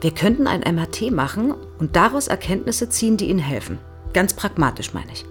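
An actress playing an eager, probing middle-aged research psychologist who explains politely in an audio drama. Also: female voice, mid-range voice, very animated, brisk, soft background music, close to an everyday voice. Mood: curious, reassuring. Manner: polite, explanatory, keenly interested. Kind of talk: naturalistic audio drama dialogue.

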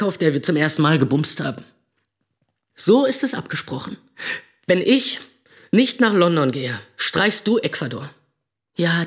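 A man's voice: a little high, a bit bright. A young man playing a stubborn, hot-tempered boyfriend telling his side in a radio drama. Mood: confrontational. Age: young adult.

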